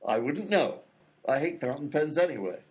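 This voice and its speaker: A man's voice: a little high, expressive, normal speed, darkish tone, breathy edge. A man playing a worried, exasperated visitor, insistent and incredulous, as the straight man in a radio comedy sketch. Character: exasperated, worried man pleading for his unconscious friend.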